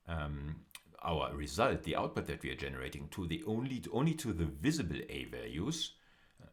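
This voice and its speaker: Very deep-voiced man giving a lecture. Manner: deliberate, repeats and rephrases phrases for emphasis. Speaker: university lecturer. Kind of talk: explanatory lecture with slides.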